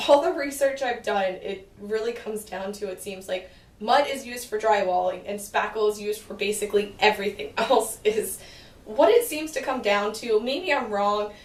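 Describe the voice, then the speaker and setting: A woman's voice: fairly high pitch, echoey room, recorded from a distance. A woman with a sore, fading voice, explaining her home renovation casually and thinking aloud as she corrects herself. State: sore throat, losing her voice. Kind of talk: casual explanatory talk to camera.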